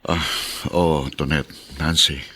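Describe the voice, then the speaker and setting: A grave, serious elder brother in a Filipino radio drama. His voice is deep and low, and he speaks like the responsible one in the family. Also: little pitch movement, takes it slowly, pushed and bright, a little hiss or hum, exaggerated voice.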